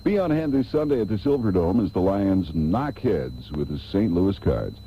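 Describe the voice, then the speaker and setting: A man's voice: sits low, sing-song, moderate pace, husky. A man reading a promotional announcement as a radio announcer. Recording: dull old radio tape, faint hum.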